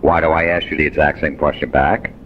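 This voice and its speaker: Very deep-voiced deadpan man, muffled call. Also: quick pace. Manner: deadpan, repeats questions back, obtuse. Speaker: prank caller. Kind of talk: deadpan phone prank conversation. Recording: muffled, noisy phone call recording.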